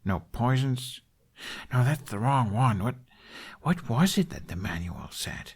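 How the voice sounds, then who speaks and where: A man's voice: mid-range voice, lively intonation, a little slow, breathy edge, pitched above the performer's own voice. A man voicing an eager, curious boy who rambles awkwardly and hesitantly in an audiobook. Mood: rambling, puzzled.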